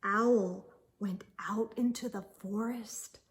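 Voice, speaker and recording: A woman hosting children's storytime, reading a picture book aloud expressively with deliberate, drawn-out phrasing. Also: mid-range voice, steady tone. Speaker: children's storytime host and reader.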